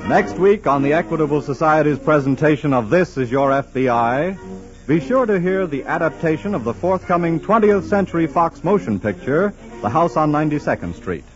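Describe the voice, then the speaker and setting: A man announcing for a sponsor in an emphatic, formal, polished 1940s radio style. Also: on the high side. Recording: muffled 1940s radio broadcast.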